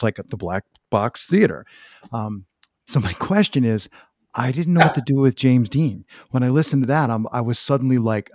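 Male interview host, medium pitch, dry sound, conversational and informal, descriptive. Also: darkish tone, no echo. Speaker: podcast host interviewing a guest.

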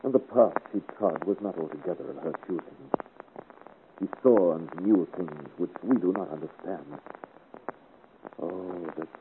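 An actor in a 1950s radio adventure serial playing a principled, grave young musketeer with an earnest, formal manner. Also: male voice, sits low, unhurried, pauses often. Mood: somber, regretful. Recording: noisy, tinny old radio recording.

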